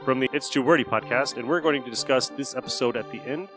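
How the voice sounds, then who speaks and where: A man's voice: medium pitch, quick pace. A man casually introducing a show as podcast host. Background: music.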